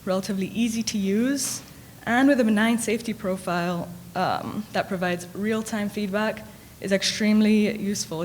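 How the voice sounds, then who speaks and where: A woman's voice: fairly low pitch, slight background noise. A young woman from the Caribbean, a radiology resident, giving a friendly conference talk with light humor. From Caribbean, grew up in Grenada. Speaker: radiology resident, panel speaker. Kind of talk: conference presentation. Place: conference hall.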